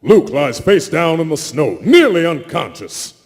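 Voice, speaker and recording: A man's voice: a little high, big pitch swings, unhurried. A man giving dramatic scripted narration at a live reading. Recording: reverberant convention hall with background noise.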